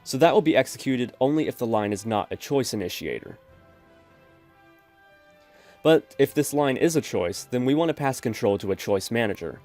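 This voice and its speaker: Steady man narrating a coding tutorial. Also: medium pitch, normal speed, faint music behind. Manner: steady explanatory, addresses viewers as folks. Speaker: programming tutorial host. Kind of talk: screen-recorded coding tutorial.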